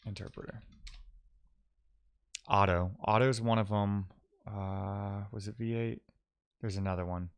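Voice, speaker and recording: A man's voice: lowish voice, fairly even intonation, unhurried, pauses often, clean tone, no echo. A man talking casually through technical ideas as a live-coding streamer, musing aloud and posing questions to himself.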